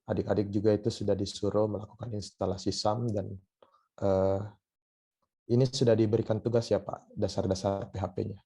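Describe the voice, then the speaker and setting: A hoarse man with a cough, giving a university lecture online and often pausing on filler sounds. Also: fairly low pitch, little pitch movement, dark tone. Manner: hesitant, many filler sounds.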